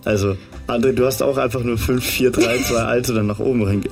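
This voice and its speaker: A man's voice: mid-range voice, fairly even intonation, fairly quick, over music. A man hosting a morning radio show in casual, playful chat, teasing and dropping English words.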